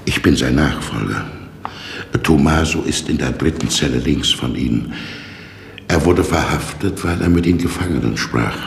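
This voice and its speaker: An actor playing a polite, curious and dryly sardonic novice jailer, matter-of-fact, in 1960s German radio drama style. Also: male voice, rumbling low, moderate pace, creaky. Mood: coolly polite. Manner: courteous, dry, matter-of-fact. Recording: echoey, reverberant, noisy old radio recording.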